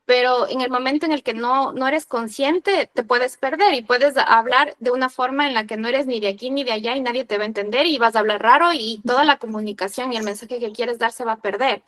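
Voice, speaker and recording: A woman's voice: fairly high pitch, brisk, few pauses, a bit bright. A woman from Ecuador with a Quito accent, speaking in earnest, reflective casual chat.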